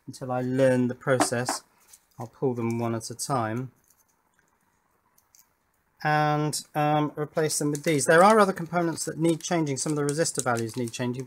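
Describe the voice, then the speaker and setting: A man giving a casual narration of hands-on electronics work, thinking aloud and putting questions to himself and his viewers. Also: mid-range voice. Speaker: electronics hobbyist presenter.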